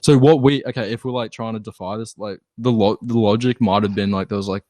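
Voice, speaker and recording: A man's voice: fairly low pitch, steady tone, fast talker, dark tone, dry recording. A young Australian man chatting casually and telling anecdotes in a rambling, slangy way. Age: young adult. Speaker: band member and podcast guest. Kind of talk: casual group chat, anecdotal storytelling.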